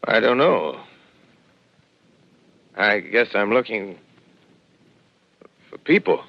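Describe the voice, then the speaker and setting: An actor playing a shaken lone astronomer surviving in a deserted land, in a hushed, reflective 1930s radio drama style, on a dull lo-fi old broadcast. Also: male voice, on the low side, big pitch swings, slow, full character voice. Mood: wary.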